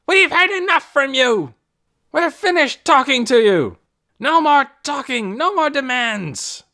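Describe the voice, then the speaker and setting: A man voicing a furious middle-aged male town leader who screams orders in a high, strained voice. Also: big pitch swings, slow, pushed and bright, full character voice. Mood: outraged yelling. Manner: screaming, outraged. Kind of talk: audiobook character voice.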